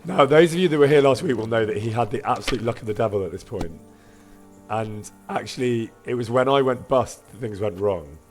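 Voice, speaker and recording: A man streaming a game with casual, self-deprecating commentary, in a husky voice, chatting with his viewers. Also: mid-range voice, a little fast, faint music behind.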